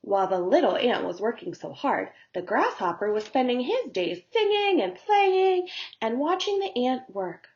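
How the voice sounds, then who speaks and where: A woman narrating a story for young children as a teacher would, in simple, deliberate phrasing. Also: a little high, very animated, a little mellow, dull audio.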